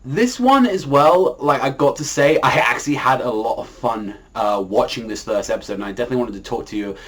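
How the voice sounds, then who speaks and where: A man with a medium-pitched voice talks as an anime reviewer in a casual, unscripted style. He rambles and repeats himself, leaning on filler words as he works through his opinions. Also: a little fast, a bit bright. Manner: rambling, repetitive, full of "like" as a verbal habit.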